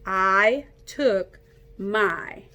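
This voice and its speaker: A woman reading a picture book aloud to children like a teacher, in an animated, playful way, coaxing young listeners to join in. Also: mid-range voice, extremely slow.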